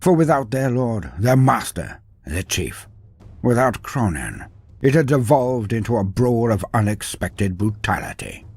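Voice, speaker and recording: Grandiose male storyteller, animated pitch, husky. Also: measured pace, no room sound. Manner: grandiose, wry, ornate old-fashioned phrasing. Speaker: storyteller, narrator of fantasy war lore. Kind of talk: dramatic scripted narration.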